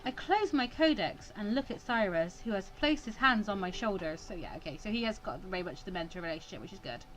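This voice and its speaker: A woman's voice: mid-range voice, lively intonation, a little fast, few pauses, faint music behind, rough recording. A woman hosting a let's play, reading visual novel text aloud and breaking off for wry, sarcastic asides.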